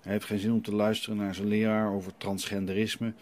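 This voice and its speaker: A man voicing a meek, troubled father at confession in an audio drama, husky and hesitant. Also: on the low side, little pitch movement, a little fast, darkish tone, a little hiss or hum, natural voice. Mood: uncertain. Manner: hesitant, uncertain.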